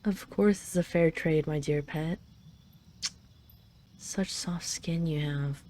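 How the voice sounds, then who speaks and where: A haughty female dragon queen, played by a woman in an intimate audio roleplay. Her voice sits fairly low, with a very mellow, relaxed tone. She speaks imperiously and teasingly to her captive, possessive yet fond. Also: takes it slowly, slight background noise. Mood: fond, domineering. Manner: imperious, teasing, intimate, slightly flustered when admitting affection. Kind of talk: roleplay audio drama addressed to the listener.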